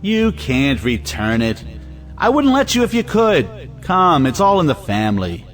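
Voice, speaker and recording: A man's voice: on the high side, huge pitch swings, unhurried, soft background music. A man playing a wealthy, domineering family patriarch in an audio play, blustering and persuasive. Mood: indignant.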